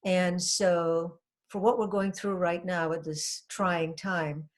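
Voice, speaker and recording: An older woman from Trinidad talks in an earnest, reflective way, her voice sitting fairly low for a woman. She is a hypnotherapist guest in a casual interview and often pauses on soft fillers. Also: flat delivery. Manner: earnest, reflective, with frequent "you know" and "um".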